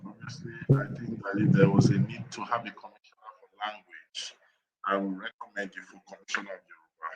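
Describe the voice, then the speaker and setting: A man's voice: on the low side, flat delivery, a little fast, darkish tone, husky, highs cut off. A man hosting an education discussion show, jovially teasing his guest and introducing topics. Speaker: show host.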